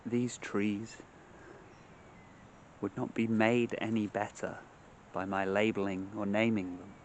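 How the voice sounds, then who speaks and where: A man leading a guided meditation in measured, short phrases separated by long pauses, speaking outdoors. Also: on the low side, flat delivery, a little hiss or hum. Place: outdoors among trees.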